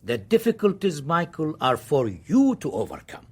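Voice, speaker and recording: An actor playing an imperious, ambitious government minister in a radio drama, speaking formally and emphatically. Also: male voice, fairly high pitch, very animated, normal speed, a little mellow, husky. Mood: insistent, confident.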